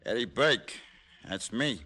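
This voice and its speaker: A man's voice: mid-range voice, animated, slow pace, sharp tone, husky, full character voice. A man playing a threatening, impatient American tough in an old radio crime drama, curt in manner. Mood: menacing, impatient. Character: menacing, impatient male tough demanding a package.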